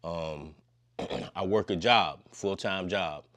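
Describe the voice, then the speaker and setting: Deep-voiced laid-back man in his fifties. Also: slow, fairly bright tone. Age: 50s. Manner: laid-back, slangy, long-winded. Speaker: podcast guest. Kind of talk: casual conversation.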